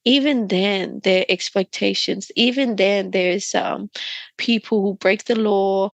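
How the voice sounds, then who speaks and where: Animated Australian-accented woman guest. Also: medium pitch, measured pace, gravelly, dry recording. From Myanmar. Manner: animated storytelling, laughing often. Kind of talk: casual interview talk.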